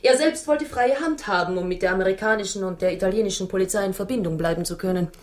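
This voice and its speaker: An actress in a German radio drama playing an anguished wife who tells her story in a dramatic, halting way. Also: medium pitch, close to an everyday voice. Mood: urgent, fearful. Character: anguished Italian wife recounting a murderous secret society's threat.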